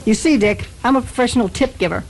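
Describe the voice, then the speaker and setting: A woman's voice: on the high side, fast. A woman playing an earnest, secretive anonymous tipster in a comedic radio drama, solemn and self-serious. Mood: earnest, wounded pride.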